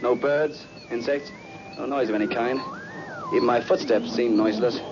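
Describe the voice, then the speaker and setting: An actor playing a lone cosmonaut losing his mind in terror, halting and stammering, in 1960s radio horror style. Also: medium pitch, background noise, close to an everyday voice. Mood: desolate dread. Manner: halting, stammering, repeating himself. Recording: old muffled radio recording, music behind.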